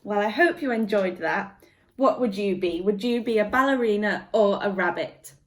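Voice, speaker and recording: A woman reading a children's story aloud in an expressive, measured way for young listeners. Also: mid-range voice.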